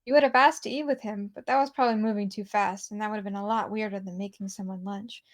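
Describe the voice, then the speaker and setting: A woman's voice: medium pitch, steady tone, fast. A woman casually narrating and commenting on a visual novel, informal and prone to giggling.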